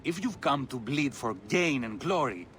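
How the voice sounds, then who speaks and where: An actor playing a brisk, businesslike recruiter of fighters in a video game, direct and smooth-talking. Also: male voice, mid-range voice, lively intonation, a bit bright, close to an everyday voice. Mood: appraising, welcoming. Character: brisk, businesslike man welcoming mercenary fighters. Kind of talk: video game cutscene acting. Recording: faint urban outdoor ambience noise.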